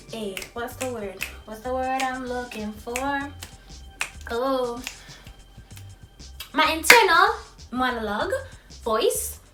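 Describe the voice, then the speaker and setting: A young woman with a Trinidadian accent touched by American tones, talking expressively to camera in a high, youthful voice. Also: echoey room, far from the mic. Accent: Trinidadian with American influence. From Trinidad and Tobago. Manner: expressive, lively, quick when excited, laughs often. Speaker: vlogger. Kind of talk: casual talk to camera.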